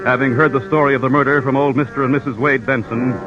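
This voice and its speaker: A man's voice: medium pitch, fairly even intonation, quick pace. A man with an American accent reading scripted narration as an old-time radio announcer. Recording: dull vintage radio recording. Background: loud music.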